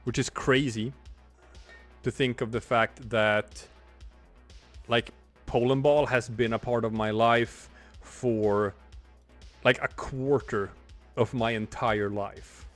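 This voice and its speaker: A man's voice: mid-range voice, fairly even intonation, slow pace, pauses often, a bit bright, faint music behind. A man in his forties, a streamer and comic artist, talking in a rambling, reflective way while he draws, with many restarts. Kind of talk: casual livestream chat while drawing.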